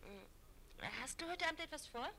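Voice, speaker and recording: An actress in a radio drama playing a friendly woman who speaks in a gently coaxing manner. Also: sits high, animated, moderate pace. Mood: inviting. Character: friendly, coaxing divorced woman phoning a close acquaintance, Eve. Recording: roomy, echoing sound.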